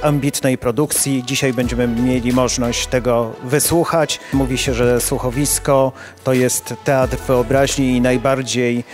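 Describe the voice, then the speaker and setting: A man's voice: mid-range voice, level tone, unhurried, a little mellow, faint music behind. A man giving a formal interview statement as a radio station spokesman.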